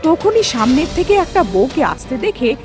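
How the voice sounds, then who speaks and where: High, lively woman storyteller. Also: moderate pace, over music. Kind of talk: scripted cartoon ghost-story narration.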